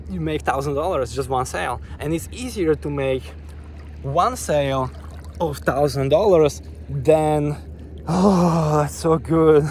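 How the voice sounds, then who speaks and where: A man's voice: a little high, a little hiss or hum. A man from Slovakia, vlogging eagerly on an outdoor beach, with many fillers and restarts. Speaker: online business vlogger. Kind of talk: upbeat to-camera vlog pitch.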